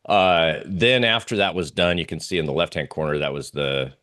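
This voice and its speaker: A man's voice: extremely low pitch, moderate pace, fairly bright tone. A man giving a casual, hesitant presentation as a guest trader, thinking aloud as he talks through charts. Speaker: interview guest, oil trader.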